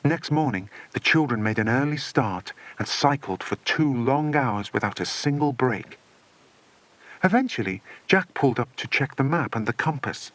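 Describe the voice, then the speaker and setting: Animated male audiobook narrator. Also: medium pitch, moderate pace, no room sound. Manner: animated, expressive storytelling. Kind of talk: dramatic scripted narration of a children's adventure story.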